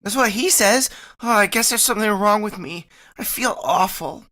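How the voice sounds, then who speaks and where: A woman's voice: medium pitch, moderate pace, a bit bright, lightly acted. A woman voicing a shy, stubborn teenage boy in a vintage-style radio play. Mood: glum. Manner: whiny, defiant.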